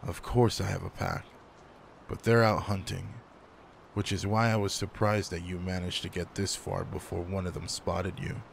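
A man playing a gruff alpha male werewolf, guarded but caring, in an intimate roleplay audio drama, speaking in a slow, teasing, commanding way. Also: low-pitched, little pitch movement, slight background noise. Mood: matter-of-fact.

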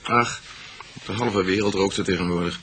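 An actor playing a sceptical, methodical police detective in a radio drama, matter-of-fact and probing. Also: male voice, sits low, moderate pace, fairly bright tone, slight background noise. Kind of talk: Dutch radio drama acting.

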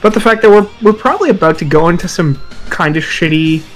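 A man's voice: sits high, fast talker. A man talking casually and loosely about video games in a group podcast, rambling and swearing as he goes. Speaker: podcast panelist. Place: online group voice chat. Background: guitar music.

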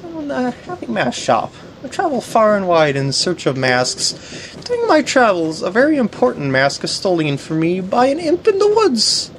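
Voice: medium pitch, huge pitch swings, normal speed, slight background noise. A game commentator voicing an eerily polite, pleading travelling mask salesman from a video game, in a courteous, theatrical manner. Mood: polite, ominous.

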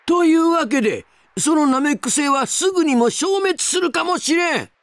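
A man's voice: very high voice, expressive, moderate pace, fairly bright tone, no echo. A man voicing a fussy, emphatic elderly deity in an anime video game. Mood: urgent. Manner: urgent, stammering, emphatic. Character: fussy elderly male deity, alarmed but kindly.

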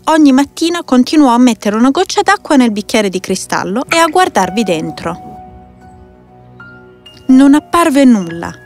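A woman narrating a scripted fairy tale for children as a storyteller. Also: fairly high pitch. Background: faint music.

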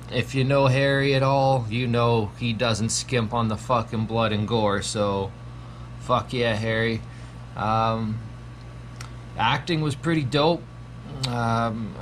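Man, 30s, slow relaxed pace, heavy swearing, ums, casual home video review, light background hiss. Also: medium pitch. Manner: laid-back, swears constantly, frequent ums. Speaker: horror movie reviewer. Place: small home room at a desk.

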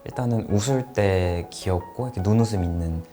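A man in his late twenties, a guest talking in an unhurried, soft-spoken way. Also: sits low, over music. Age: 20s.

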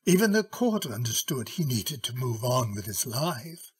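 A mild, courtly middle-aged lawyer in an old-time radio drama. His voice is very husky and swings expressively. He speaks slowly, with courteous, cautious hesitation. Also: mid-range voice, very animated, exaggerated voice. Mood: guarded, grave.

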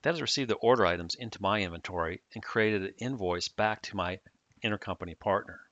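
Male software demo narrator, lowish pitch, crisp forward tone, plain step-by-step delivery. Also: fast, no room sound, dull audio. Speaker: software demonstrator. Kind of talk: scripted tutorial narration.

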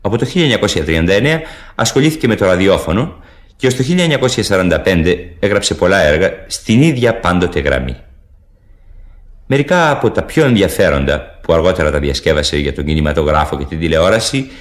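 A man with a very low voice introduces a radio drama. He reads formally and in measured fashion, with a widely varied, expressive intonation. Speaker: radio presenter. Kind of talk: scripted introduction to a radio play.

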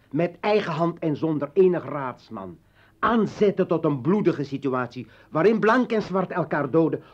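A man's voice: fairly high pitch, darkish tone. An actor in a radio play as an embittered, rambling revolutionary and desperate father, speaking rhetorically and swinging between brooding and outburst. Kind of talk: dramatic radio play acting. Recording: dull lo-fi old radio recording.

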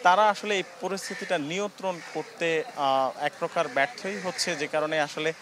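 Young man, field reporter, handheld mic outdoors, high-pitched, hesitant explaining, faint street noise. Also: normal speed. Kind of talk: live news report. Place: outdoor city market. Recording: faint outdoor market ambience.

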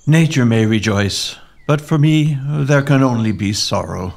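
An actor playing a grieving young English gentleman in a Victorian audio drama, speaking earnestly and formally. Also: medium pitch, a little slow, vocal fry. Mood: mournful.

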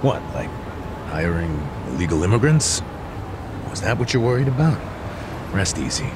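A gruff older ex-detective with a wry streak for a game. His lowish voice swings in pitch over clear rumbling street noise. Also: sing-song, normal speed. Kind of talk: video game acting.

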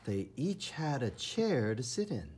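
A man reading a children's storybook aloud with enthusiastic, theatrical emphasis and dramatic pauses in a husky, breathy voice. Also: medium pitch, very animated, dark tone, slight background noise. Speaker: storybook reader. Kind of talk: children's read-aloud narration.

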